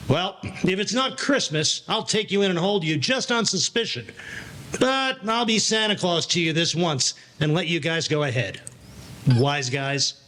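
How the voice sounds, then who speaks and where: Man playing a bright-voiced, sarcastic young cop. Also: on the high side, lively intonation, unhurried, rough recording. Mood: mocking, grudging. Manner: sardonic, taunting. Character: suspicious, sarcastic young motorcycle cop, grudgingly lenient. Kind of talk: 1940s radio play acting.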